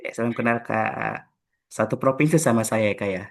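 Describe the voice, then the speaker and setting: A young man from Jakarta runs a relaxed podcast chat with colleagues. His voice sits at an ordinary middle pitch, and he speaks in a friendly way, with filler pauses between questions. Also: gravelly. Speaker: podcast host. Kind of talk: casual group podcast chat.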